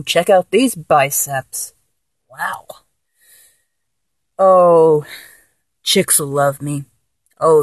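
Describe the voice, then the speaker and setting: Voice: medium pitch, no echo. Someone voicing a giddy freshly transformed guy. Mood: cocky. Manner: rambling, stammering, giggly. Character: man just transformed from a woman, giddy, awkward. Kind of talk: solo audio roleplay.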